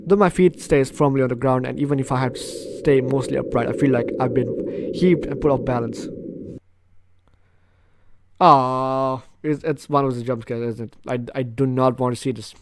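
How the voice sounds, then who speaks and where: A man's voice: medium pitch, steady tone, moderate pace, noisy background. Male gamer reading visual novel text aloud. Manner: reactive, alternates asides with dramatic reading. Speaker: gaming YouTuber. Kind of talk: playthrough commentary, reading game text aloud. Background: game music.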